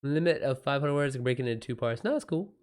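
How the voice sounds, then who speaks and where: A man's voice: mid-range voice, animated, fast. Casual, slangy man chatting on livestream. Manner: casual slangy talk, swears freely. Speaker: livestream host. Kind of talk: casual livestream chat with viewers.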